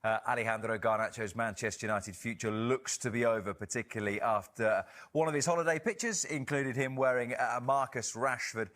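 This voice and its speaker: A man's voice: medium pitch, a bit bright. Man presenting a sports panel conversationally.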